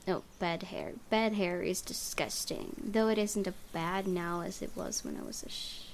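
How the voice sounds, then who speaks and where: A woman reading visual novel narration aloud for a let's play, with expressive, theatrical storytelling. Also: fairly low pitch, moderate pace, gravelly, slight background noise. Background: music.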